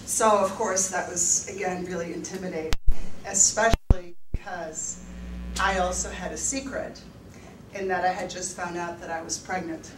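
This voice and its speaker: A woman's voice: lowish voice, fairly even intonation, moderate pace. Candid middle-aged woman in an echoey room. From Netherlands. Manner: candid, laughs often, many fillers. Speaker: financial advisor, speaker. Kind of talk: personal story talk to an audience. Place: university event room. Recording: echoey room, mic at a distance, background noise.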